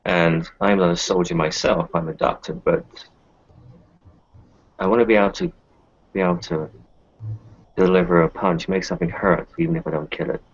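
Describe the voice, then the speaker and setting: A man's voice: low voice, fairly even intonation, moderate pace, pauses often, slightly rough, muffled recording. A man playing a defensive, determined doctor in an improvised roleplaying game. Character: defensive, determined male doctor arming himself with guns. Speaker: player in an online roleplaying session. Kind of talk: in-character improvised roleplay talk.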